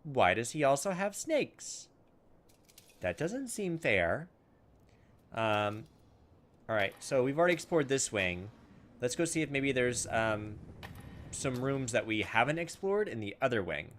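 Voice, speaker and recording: A man with a mid-pitched voice hosts a gameplay video. He talks informally and thinks aloud, poking fun at himself and reading on-screen narration as he goes. Noise and game ambience sit behind his voice. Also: very animated, unhurried, long pauses, a bit bright. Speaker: gaming video host. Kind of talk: casual game commentary mixed with reading on-screen text aloud.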